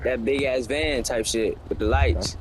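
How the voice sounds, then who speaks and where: A man's voice: medium pitch, measured pace, background noise. A man in a game voice chat, chiming in with blunt, slangy remarks. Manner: laid-back, blunt, slangy. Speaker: player in a roleplay crew. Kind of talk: casual voice chat.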